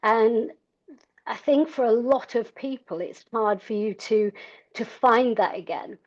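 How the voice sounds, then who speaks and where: Higher-pitched woman telling her story frankly. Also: a little slow. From South Africa. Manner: conversational, frank, self-deprecating. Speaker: podcast guest, endurance cyclist. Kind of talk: candid personal storytelling. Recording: dull, lo-fi audio.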